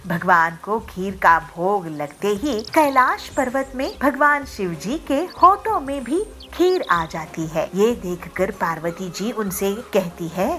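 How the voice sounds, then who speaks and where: A woman's voice: mid-range voice, animated, slow pace, few pauses, a bit bright. A woman narrating a scripted children's moral story as a storyteller.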